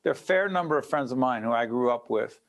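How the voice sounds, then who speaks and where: A man from Newark hosts an interview, jumping in with quick, pointed questions. His delivery is animated and conversational, and his voice swings up when he pushes a point. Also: medium pitch. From Newark, New Jersey. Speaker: interview host.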